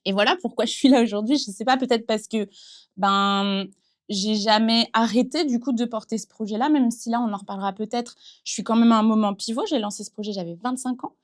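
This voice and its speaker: A woman's voice: on the high side, steady tone, fairly quick. A thirty-year-old woman from western France, speaking in a casual interview in an earnest, reflective way with frequent fillers, recorded in a small improvised studio. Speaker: entrepreneur guest. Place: improvised studio in a concert hall dressing room.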